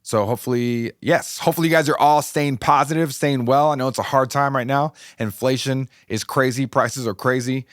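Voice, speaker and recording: Slightly raspy American man, dry close sound. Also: medium pitch, steady tone, a little fast, hardly any pauses, fairly bright tone, no echo. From United States, California. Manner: encouraging, informal, repeats phrases for emphasis. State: slightly raspy voice from a passing illness. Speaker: podcast host. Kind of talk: motivational podcast monologue.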